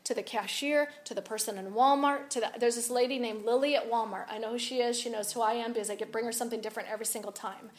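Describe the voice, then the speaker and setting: Raspy gal giving a talk to women, kinda high voice, animated and chatty. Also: female voice, fast talker, hardly any pauses. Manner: animated, confiding, rises and drops a lot. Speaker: speaker at a women's event. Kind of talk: personal storytelling talk.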